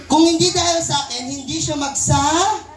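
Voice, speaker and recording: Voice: high voice, normal speed. A Filipino ventriloquist hosting a children's puppet show with playful live banter. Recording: echoey venue with crowd noise.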